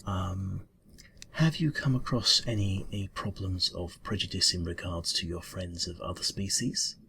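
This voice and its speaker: Casting: male actor as a polite, flustered bureau-cat interviewer for ASMR roleplay. Deep yet bright-edged voice, halting officious mumble. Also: creaky, slight background noise, exaggerated voice. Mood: polite, hesitant. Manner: hesitant, mumbling, halting, formal.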